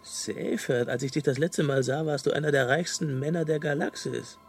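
A man's voice: a little high, moderate pace, gravelly, breathy edge. A man playing a sceptical, wry space traveller who questions everything, in a radio comedy drama. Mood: wry, sardonic. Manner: probing, wry, sardonic.

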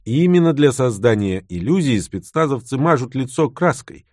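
A man reading a scripted self-help text, with lively, acted-out delivery of the quoted retorts. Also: mid-range voice, normal speed, no echo, studio quality. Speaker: narrator. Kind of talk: scripted book narration.

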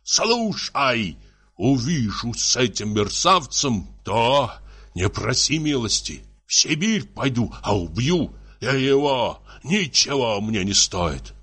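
A man's voice: medium pitch, big pitch swings, measured pace, bright, forceful tone, husky, pitched above the performer's own voice, full character voice. A man voicing a tipsy, jealous, bullying husband who scolds in sputtering, tearful exclamations for a story. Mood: furious. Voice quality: muffled, tearful, raised voice.

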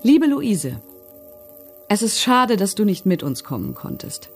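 A woman's voice: mid-range voice, big pitch swings, unhurried, a little mellow, faint music behind. A woman playing a commandant's vain, untroubled wife, reading out her letters and requests in a documentary radio drama. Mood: chatty, admiring.

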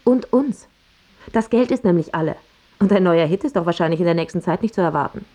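A woman's voice: lowish voice, animated, a little fast, warm tone. An actress playing a loving but pragmatic girlfriend in a radio drama, soothing and matter-of-fact. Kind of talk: understated radio drama acting.

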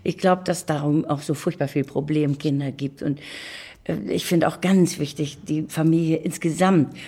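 A woman's voice: deep voice, fairly even intonation, normal speed, mellow, breathy edge. An older woman giving reflective, conversational interview answers, speaking emphatically with opinions and repeated words. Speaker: interview guest.

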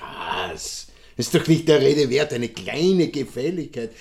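An actor playing a genial, self-satisfied count with an Austrian accent, courtly, chummy and long-winded in a radio drama. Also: male voice, mid-range voice, big pitch swings, a little slow, gravelly, darkish tone. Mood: smooth, evasive. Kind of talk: German-language radio drama acting.

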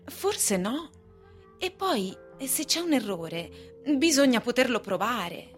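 Flirtatious usher's wife, high voice, coy, confiding, radio drama, music underneath. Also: female voice, sing-song, takes it slowly. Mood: coy, insinuating.

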